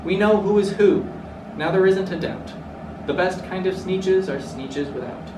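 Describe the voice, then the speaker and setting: A man's voice: high voice, measured pace. A man voicing boastful, snooty beach creatures in a children's storybook, speaking haughtily in rhyme. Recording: roomy, distant mic, clearly noisy.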